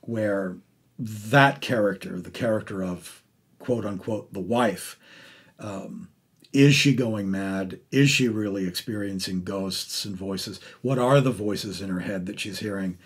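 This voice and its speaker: A middle-aged man hosting an interview in a casual, effusive way, rambling and halting as he talks about the arts. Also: lowish voice, breathy edge. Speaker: podcast host interviewing an actor. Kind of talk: casual, enthusiastic arts conversation.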